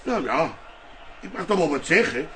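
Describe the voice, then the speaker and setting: A man's voice: fairly high pitch, very animated, normal speed, a crowd in the background. An older male actor playing a grumpy, stubborn old father in a radio drama. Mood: indignant grumbling. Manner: grumbling, stubborn. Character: grumpy, cantankerous old father living with his son.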